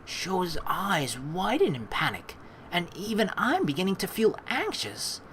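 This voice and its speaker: Playful lower-voiced young woman, lively delivery. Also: unhurried, slight background noise. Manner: playful, slangy, teasing. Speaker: let's play host. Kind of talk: casual game commentary mixed with reading narration aloud. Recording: faint game music behind the voice.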